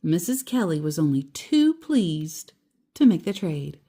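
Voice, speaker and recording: A woman reading a children's picture book aloud expressively as a storyteller, with deliberate, dramatic emphasis on descriptive words. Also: sits low, big pitch swings, mellow.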